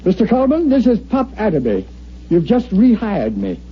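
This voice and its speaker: An actor playing a brash, commanding newspaper editor who talks in punchy orders, in 1950s radio drama style. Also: male voice, high voice, wildly swooping pitch, normal speed, husky, full character voice. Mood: brisk, triumphant. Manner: bossy, punchy, wisecracking. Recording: muffled old-time radio recording.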